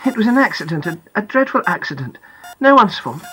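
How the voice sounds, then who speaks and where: A woman reader plays a mum in an audiobook. She has a slightly husky voice and a gentle, reassuring way of speaking. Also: medium pitch, very animated, a little fast, exaggerated voice, rough recording. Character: reassuring mother of an injured child. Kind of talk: audiobook character acting.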